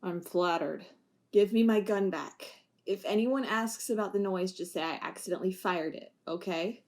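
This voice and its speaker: A woman's voice: medium pitch, normal speed, a little mellow, natural voice. A woman playing a former police officer, Riley, weighed down by guilt, with a wry, sarcastic way of talking. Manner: wry, sarcastic, turning impassioned. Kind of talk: amateur audio drama acting.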